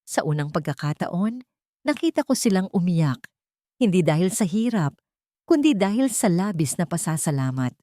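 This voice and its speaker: Lower-pitched woman narrating a dramatic story. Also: big pitch swings, moderate pace, warm tone, dry recording. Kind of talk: dramatic first-person scripted storytelling.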